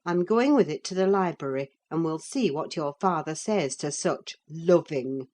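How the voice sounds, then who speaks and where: A woman's voice: deep voice, expressive, moderate pace, darkish tone. A woman voicing a stern older housekeeper who scolds a girl with scorn, her voice a little raised. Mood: scolding, scornful.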